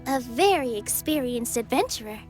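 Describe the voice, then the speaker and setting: A woman voicing a gentle, cheerful young dancer in a game who speaks politely and modestly. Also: fairly high pitch, lively intonation, normal speed, faint music behind, lightly acted.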